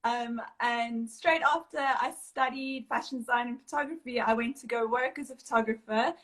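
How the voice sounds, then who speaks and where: A woman's voice: fairly high pitch, normal speed, fairly bright tone. Chatty woman hosting a live chat. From South Africa. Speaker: host.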